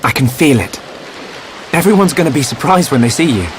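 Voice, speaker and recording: An actor playing an earnest, protective young hero in a video game cutscene, speaking with sincere intensity. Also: male voice, mid-range voice, animated, a little fast, a little hiss or hum, close to an everyday voice. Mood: reassuring, determined.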